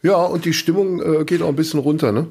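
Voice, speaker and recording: A man's voice: on the high side, fast, slightly rough. A man co-hosting a casual podcast, talking loosely with many fillers and reading out news items. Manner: relaxed, reads news items aloud with frequent fillers. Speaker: podcast co-host.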